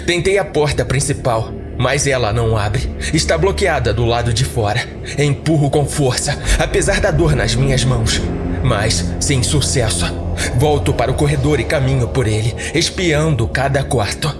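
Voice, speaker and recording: Male Brazilian narrator for a horror podcast, mid-pitched, measured dramatic storytelling with music underneath. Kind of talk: scripted first-person horror story narration.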